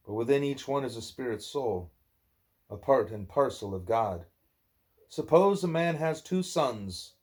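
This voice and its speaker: A man reading a spiritual dialogue aloud at home, speaking deliberately and sometimes correcting himself. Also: mid-range voice, darkish tone. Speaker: book reader, parent.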